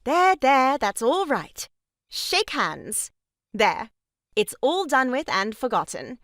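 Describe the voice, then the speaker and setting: A pompous, aggrieved busybody in an audio farce. She declaims in a high, very animated voice, turning each reproach into grand melodrama. The sound is close and dry. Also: takes it slowly, no room sound, exaggerated voice. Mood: brisk, condescending. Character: meddling, theatrically aggrieved sister-in-law, grandly moralizing woman. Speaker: voice actor. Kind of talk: stage farce audio drama acting.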